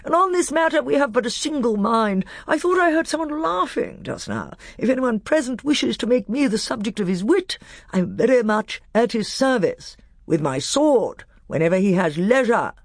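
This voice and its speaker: A narrator voicing a tiny male mouse warrior with a shrill voice, courtly, formal and fiercely proud. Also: big pitch swings, moderate pace, dry recording, studio quality. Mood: courtly, defiant. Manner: courtly, formal, touchy about honour.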